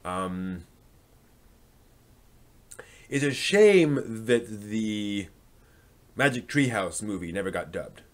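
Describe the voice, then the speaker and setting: A man's voice: on the low side, sing-song, slow, long pauses. A man hosting a live stream, talking casually and unscripted to viewers, often thinking aloud in a hesitant, rambling way.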